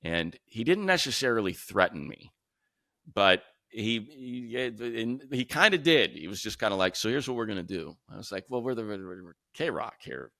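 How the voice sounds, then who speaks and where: Casting: male sports radio co-host, low creaky voice, casual storyteller who acts out both sides of conversations. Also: sing-song, moderate pace, sharp tone, no room sound, clean studio sound. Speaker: sports talk show co-host; radio play-by-play announcer.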